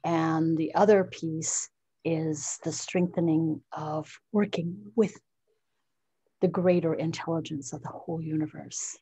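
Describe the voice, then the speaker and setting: An older woman from the United States speaking slowly and haltingly, introducing herself on a video call. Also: low voice, steady tone, dark tone, slightly rough, dry recording. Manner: slow, halting, reflective. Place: indoor room.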